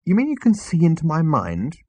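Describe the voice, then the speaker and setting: A man's voice: medium pitch, sing-song, fast, breathy edge, no echo, pitched above the performer's own voice. A man voicing a querulous, exasperated ordinary Earthman who complains and falters indignantly in an audiobook.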